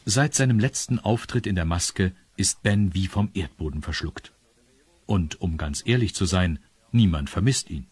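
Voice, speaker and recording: A man with a husky voice narrating a scripted children's radio story. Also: deep voice.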